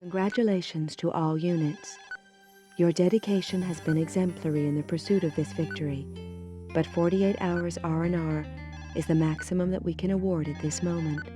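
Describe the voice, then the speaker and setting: A woman playing a composed police official in a video game, making formal, measured announcements to all units. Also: lowish voice, steady tone, mellow, soft background music, lightly acted. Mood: formal, gracious.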